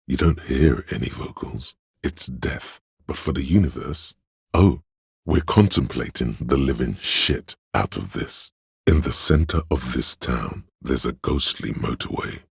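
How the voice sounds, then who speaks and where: A man voicing a pompous, erudite inner voice of artistic imagination in a video game, deliberate and theatrical, with a gravelly, husky voice. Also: very deep voice, no echo, studio quality, heavily acted. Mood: brooding, contemplative.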